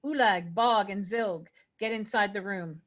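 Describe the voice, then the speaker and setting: A woman's voice: medium pitch, unhurried. A woman reading stage directions as narrator in a scripted fantasy table read.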